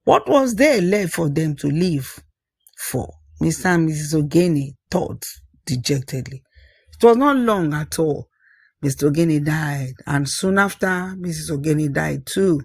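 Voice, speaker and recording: A storyteller narrating a scripted folktale, phrasing things deliberately and repeating for emphasis. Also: medium pitch, animated, gravelly, slightly rough, no room sound, clean studio sound.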